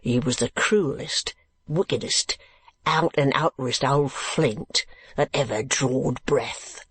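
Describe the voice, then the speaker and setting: A storybook reader puts on a coarse male keeper with a gruff voice. He speaks slowly and bluntly in rough, uneducated phrasing, with a stolid and unsentimental temper. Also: medium pitch, fairly bright tone, no echo, heavily acted. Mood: blunt, scornful. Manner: slow, blunt, uneducated speech.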